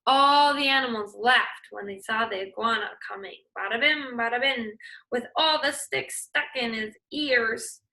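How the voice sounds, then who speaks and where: A young adult woman teacher reads a picture book aloud, then gives young students a task in an encouraging way. Her voice is bright and a little high. She speaks plainly to children. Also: a little slow, rough recording. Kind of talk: children's read-aloud and lesson.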